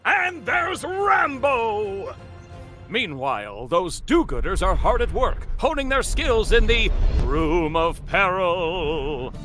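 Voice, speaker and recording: A man's voice: sits high, very animated, slow, bright, forceful tone, soft background music. A man narrating a comic book table read in a dramatic, announcer-like cartoon style.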